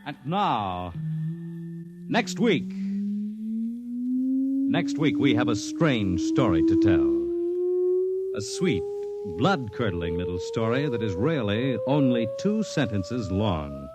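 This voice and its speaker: A man's voice: medium pitch, huge pitch swings. Dramatic old-time radio announcer, man. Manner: measured, dramatic delivery. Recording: old 1950s radio broadcast with music. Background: music.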